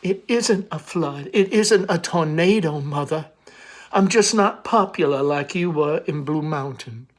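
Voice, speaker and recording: A timid young daughter in a stage drama, played by a man. The delivery is gentle, subdued and hesitant, with a nervous meekness. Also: fairly high pitch, measured pace, lightly acted. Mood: resigned.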